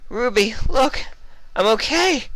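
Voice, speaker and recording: Man putting on a high, wailing young mare. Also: animated, slow, creaky, a bit bright, dry recording, exaggerated voice. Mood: excited, loud. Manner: wailing, pleading, emotional. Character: frightened, pleading young female pony.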